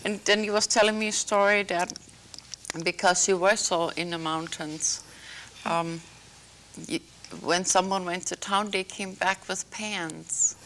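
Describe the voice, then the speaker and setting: A woman from Algeria hosting a small studio talk show, gently prompting her guest with hesitant, filler-laden questions. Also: mid-range voice, steady tone, a little slow, slight background noise, poor audio. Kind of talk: interviewing a guest.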